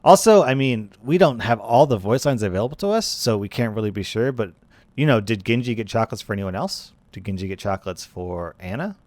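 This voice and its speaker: A man's voice: fairly low pitch, lively intonation, brisk, darkish tone, no echo. A man hosting a gaming video with casual, conversational commentary, often repeating phrases for emphasis.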